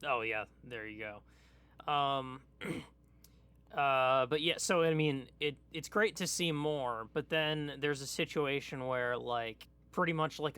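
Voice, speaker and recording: Bright, clear-voiced man, halting delivery. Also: medium pitch. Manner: halting, tentative, thinks aloud. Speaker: podcast co-host. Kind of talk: casual pop culture chat.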